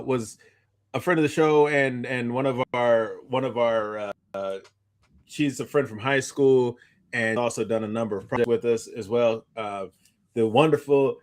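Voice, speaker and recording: A man in casual podcast conversation with a mid-pitched voice. He talks in an animated, rambling way, often restarting and repeating words as he tells a story. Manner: animated, rambling, stumbling over repeated starts. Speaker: podcast co-host. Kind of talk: casual chat, recounting anecdotes.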